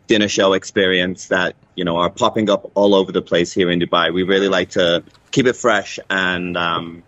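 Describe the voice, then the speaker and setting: A man's voice: deep voice, steady tone, normal speed, fairly bright tone, dry recording, lo-fi sound. A man from Liverpool in England, a cabaret MC, talking casually as an interview guest.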